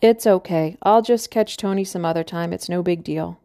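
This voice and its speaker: Dry joking middle-aged guy, read by a woman, mellow and close. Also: lowish voice, normal speed, no room sound, natural voice. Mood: wry. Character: weary, wry middle-aged man, injured.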